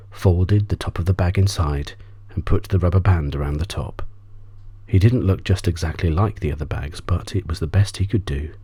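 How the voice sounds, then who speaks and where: A man reading a children's story as soft ASMR bedtime narration. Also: deep voice, slightly rough. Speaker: audiobook reader.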